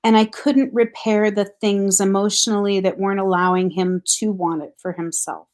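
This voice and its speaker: A middle-aged woman, a grief counselor, speaking thoughtfully and earnestly in a relaxed conversation, with a soft laugh now and then. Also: mid-range voice, normal speed. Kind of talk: reflective casual conversation.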